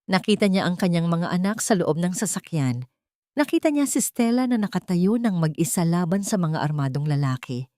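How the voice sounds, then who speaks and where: Low-voiced woman narrating melodrama. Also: big pitch swings, normal speed, warm tone, dry recording, clean studio sound. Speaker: story narrator. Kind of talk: scripted dramatic audio-story narration.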